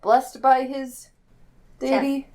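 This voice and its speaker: A woman's voice: sits high, completely flat delivery, slow pace, a little mellow. A woman chatting casually and jokingly as a player in a tabletop game group.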